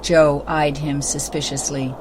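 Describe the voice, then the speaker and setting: Low-voiced woman reading a storybook. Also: level tone, unhurried. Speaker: storybook narrator. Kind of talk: scripted storybook narration. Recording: clearly noisy background ambience.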